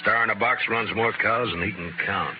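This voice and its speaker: An actor in an old radio western playing a tough, steady American marshal with a firm, laconic, wry delivery. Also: male voice, lowish voice, moderate pace, lightly acted. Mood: wry, relaxed. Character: tough, steady, dry-humored frontier US marshal. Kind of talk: 1950s radio western acting. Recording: muffled old radio recording, heavy noise.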